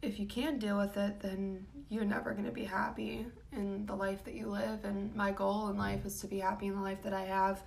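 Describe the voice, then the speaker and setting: A young woman with a raspy, low voice, talking casually about her life with many ums and likes. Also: level tone, moderate pace, hardly any pauses, a little hiss or hum. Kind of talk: personal vlog talk. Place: home room.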